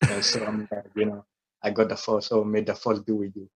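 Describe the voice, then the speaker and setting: A man's voice: fairly low pitch, monotone, brisk, husky, low-quality recording. A young man from South Sudan in his twenties, a humble and reflective guest telling his story in a casual interview.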